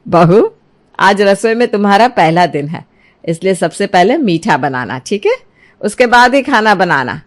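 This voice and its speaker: A woman's voice: medium pitch, lively intonation, a little slow, natural voice. A woman voicing a kindly middle-aged mother-in-law in a cartoon story, speaking in a motherly, coaxing way. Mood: gentle, approving. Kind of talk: Hindi cartoon moral story dialogue.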